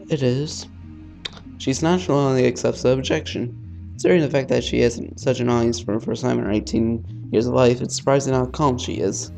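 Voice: mid-range voice, gravelly, dark tone. A let's player reading visual novel text aloud in an informal way, stumbling over words and dropping in casual asides.

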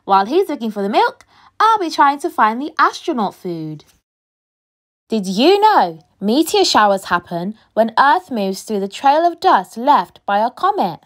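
A woman reading a children's picture book aloud with expressive, dramatic storytelling delivery. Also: a little high, a little slow. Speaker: storybook reader.

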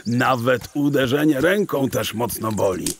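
A man's voice: mid-range voice, unhurried, a little hiss or hum, close to an everyday voice. An actor playing a kindly, exasperated old scholar in an audio drama, wordy and theatrical as he pleads and mock-scolds. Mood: mock anger.

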